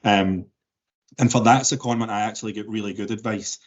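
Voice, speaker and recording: A man in his forties with a softened Glaswegian Scottish accent, answering interview questions thoughtfully with many restarts and fillers. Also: fairly low pitch, steady tone, a little fast, highs cut off. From Scotland. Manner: thoughtful, frequent word repeats and fillers. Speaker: interview guest.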